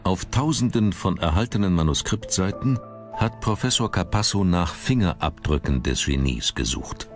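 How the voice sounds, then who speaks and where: A man's voice: sits low, animated, moderate pace. A man reading scripted documentary narration as the narrator. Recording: music under the voice. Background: background music.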